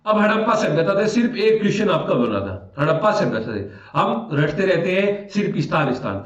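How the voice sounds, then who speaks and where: Echoey, higher-pitched male history teacher. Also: big pitch swings, normal speed. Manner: repeats phrases for emphasis, asks and answers own questions. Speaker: history teacher for exam aspirants. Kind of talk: informal exam-review lecture, explaining questions and answers. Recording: roomy echo, distant mic, dull sound.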